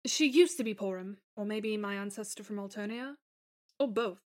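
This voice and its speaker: A woman's voice: medium pitch, moderate pace, darkish tone, lightly acted. A woman voicing a tender woman reunited with her lost lover in a fan fiction podcast, speaking in careful, formal phrases full of feeling. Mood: reflective. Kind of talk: dramatised fan fiction reading.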